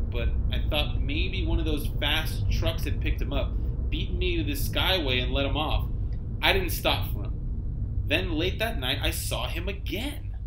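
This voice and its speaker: A man giving an expressive, theatrical first-person story narration, read aloud for children. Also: fairly high pitch. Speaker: reader for children. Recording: roomy echo, clear background noise.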